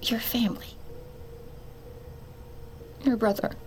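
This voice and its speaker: An actress playing an anguished, protective middle-aged mother in an audio drama, tender and halting as she speaks. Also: a little high, fairly even intonation, a little mellow, slight background noise. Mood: sorrowful, confessing. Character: anguished, protective mother confessing a family secret. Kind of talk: audio fiction acting.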